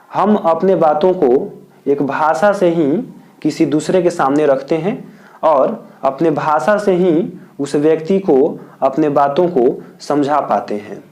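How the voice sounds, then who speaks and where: A man from India teaching as a tutor, dictating answers slowly and repeating each point in several wordings. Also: on the high side, darkish tone. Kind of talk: exam answer lesson.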